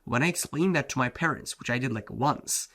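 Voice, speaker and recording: A man's voice: medium pitch, a little fast, sharp tone. A man whose first language is Turkish, a self-improvement coach giving a blunt, slangy motivational talk, often saying "bro" and "like". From Turkey.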